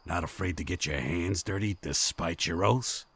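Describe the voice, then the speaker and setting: A man playing a wounded, gruff bandit leader in a fantasy drama, with a rough, gravelly voice and coarse, folksy speech. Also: sits low, no room sound. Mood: wry, pained. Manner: coarse, folksy speech with slow, labored delivery. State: injured, coughing. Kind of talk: fantasy audio drama acting.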